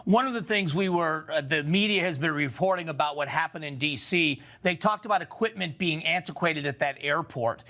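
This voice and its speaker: Man, American accent, news interviewer, somewhat high pitch, sharp bright broadcast delivery. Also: fairly quick, few pauses.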